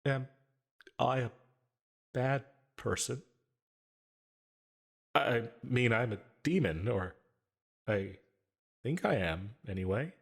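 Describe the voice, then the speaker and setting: A male actor performs a lonely demon who longs to be real, speaking close and intimately in an ASMR roleplay. His husky, breathy voice moves slowly, with hesitant stammers and long halting gaps. He sounds anxious and possessive, a monster unsure of himself. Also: mid-range voice, expressive, exaggerated voice. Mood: drained, uncertain.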